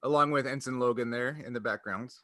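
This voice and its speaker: A man with a bright, forward voice chats casually as a podcast host and game master. He rambles through explanations, often breaking into his own sentences. The sound is close and dry. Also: mid-range voice, a little fast, no room sound. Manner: rambling, self-interrupting explanations.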